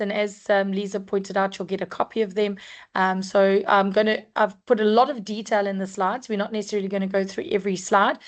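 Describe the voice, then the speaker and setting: A woman from South Africa with a croaky voice, presenting a work-compliance webinar in a measured, explanatory way with frequent ums. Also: mid-range voice. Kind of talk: scripted-free webinar talk.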